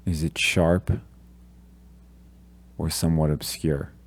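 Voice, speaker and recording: A man leading a guided meditation, giving gentle, calm instructions with long pauses between phrases. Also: rumbling low.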